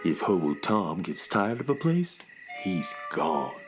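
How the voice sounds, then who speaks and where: A man's voice: low voice, very animated, slow pace, over music, heavily acted. An actor playing a laid-back, poetic beatnik cat father in a children's radio drama, with languid hip slang.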